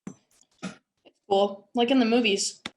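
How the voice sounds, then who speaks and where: A woman's voice: a little high, fairly even intonation, takes it slowly, a little hiss or hum. Cocky young teen boy voiced by a woman. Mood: cool. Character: cocky, laid-back thirteen-year-old boy. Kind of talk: casual scripted dialogue at a table read.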